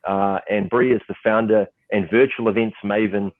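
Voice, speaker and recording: A man's voice: lowish voice, steady tone, moderate pace, dry recording, phone-quality sound. A New Zealand man in his forties, moderating an online panel in a loose, chatty way with lots of "uh" fillers. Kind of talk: casual online panel hosting. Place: client's office in Seattle, crew setting up.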